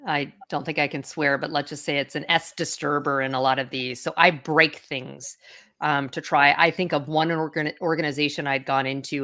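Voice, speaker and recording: A woman's voice: low voice, fairly bright tone. A middle-aged woman executive speaking as an interview guest, candid and self-assured, with frequent fillers. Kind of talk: conversational interview.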